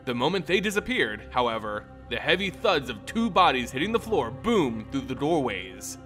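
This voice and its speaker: A man reading a fantasy tale as a dramatic narrator, with theatrical, deliberate phrasing. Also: medium pitch, very animated, sharp tone, soft background music. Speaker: storyteller, narrator.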